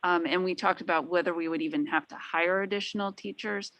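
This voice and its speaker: A woman board member raises a point in a halting, hedging way, full of fillers. Her voice sits low, and the sound is close and dry. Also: fairly even intonation, a bit bright, no room sound. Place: school board meeting.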